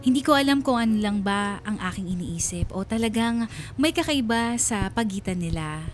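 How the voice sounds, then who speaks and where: A woman's voice: mid-range voice, lively intonation, moderate pace, faint music behind, close to an everyday voice. An actress playing a homesick wife who narrates her story with sorrow in a radio drama. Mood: troubled, sad. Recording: faint background noise.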